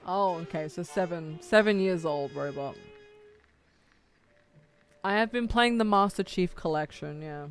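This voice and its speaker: A woman streamer chatting casually with her viewers while playing a game, chatty and animated. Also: lowish voice, measured pace, long pauses, soft background music. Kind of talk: casual gaming livestream chat.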